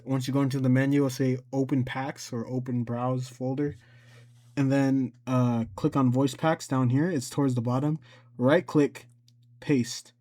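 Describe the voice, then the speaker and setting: A man giving a casual, informal software tutorial, talking himself through each step as he goes. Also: medium pitch. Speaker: tutorial host.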